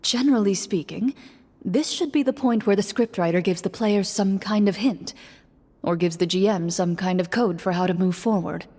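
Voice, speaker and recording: An actress playing a composed, serious young game master who speaks formally, in a measured and deadpan way. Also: female voice, mid-range voice, lightly acted. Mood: matter-of-fact. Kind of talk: scripted game voice acting, narrating a tabletop game. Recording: echoey hall reverb, music behind.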